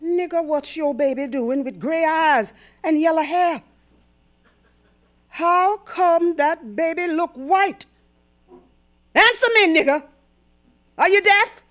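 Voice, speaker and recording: High-voiced, haughty Southern plantation mistress. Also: female voice, slow pace, long pauses, fairly bright tone, full character voice. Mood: furious, contemptuous. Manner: imperious, threatening, cutting. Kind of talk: radio drama acting.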